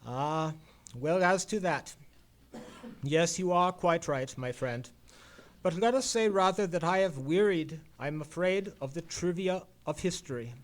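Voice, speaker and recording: A man's voice: on the high side, a little slow, lightly acted. An actor playing an erudite, world-weary male detective in an old-time radio play, with a pedantic and eloquent manner. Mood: world-weary disdain. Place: live performance in a theatre.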